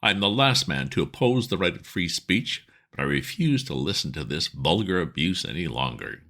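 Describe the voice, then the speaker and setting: A man putting on a stout old peer, pompous and stately, who speaks in slow, formal phrases that swing widely up and down. The voice sits deep underneath the lift of the acting, and each word lands with stiff dignity. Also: expressive, sharp tone, exaggerated voice. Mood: indignant. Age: older. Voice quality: stiff, formal, measured delivery. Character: pompous elderly English lord, stout and formal.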